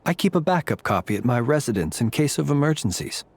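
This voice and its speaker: An ageless, otherworldly gentleman from a video game, with a mid-range voice and formal, eloquent phrasing. He sounds courteous and wry with a quiet melancholy, over some ambient background noise. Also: medium pitch, very animated, fast. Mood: calm, resolved.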